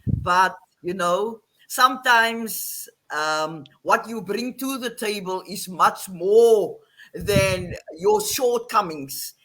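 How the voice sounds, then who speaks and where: A middle-aged woman from the Western Cape with an Afrikaans South African accent, telling her story in animated casual talk on a video call. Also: mid-range voice, takes it slowly, low-quality recording. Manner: animated, repeats phrases, laughs often. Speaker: guest, online English teacher.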